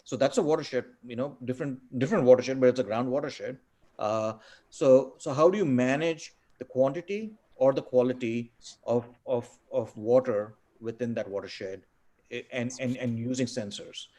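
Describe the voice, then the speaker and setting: Halting Indian-born man on a webinar. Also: medium pitch, dark tone. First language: Tamil. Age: middle-aged. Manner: halting, many fillers and repeated words. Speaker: guest speaker. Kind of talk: webinar Q&A answers.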